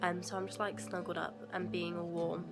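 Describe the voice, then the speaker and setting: A woman's voice: fairly low pitch, flat delivery, brisk, gravelly, music in the background. A young woman from England, a university student, chatting casually in a rambling way with a stuffy nose from a cold, at home. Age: young adult. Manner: chatty and rambling, many fillers and asides. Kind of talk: casual vlog chat. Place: at home indoors.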